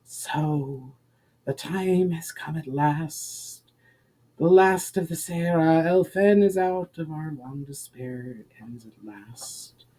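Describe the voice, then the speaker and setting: A man voicing a sorrowful female water spirit, slow, hushed and weary, in a fantasy story reading. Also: a little high, pitched above the performer's own voice. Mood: despairing, weary.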